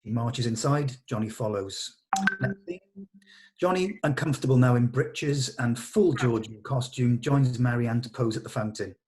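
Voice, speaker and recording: A man's voice: mid-range voice, moderate pace, darkish tone, poor audio. A man leading a script read, narrating stage directions in an informal, matter-of-fact, slightly hesitant way. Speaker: table read leader and narrator.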